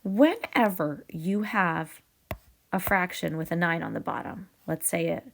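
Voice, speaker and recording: Raspy teacher woman fighting a cold. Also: lowish voice, a little slow. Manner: upbeat, patient explaining with fillers. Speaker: math teacher. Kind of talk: video math lesson.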